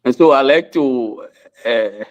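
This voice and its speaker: A man's voice: medium pitch, takes it slowly, muffled recording. A man with a Liberian accent, a lawyer debating on a talk show, often repeating his words. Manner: repeats words, courteous to seniors.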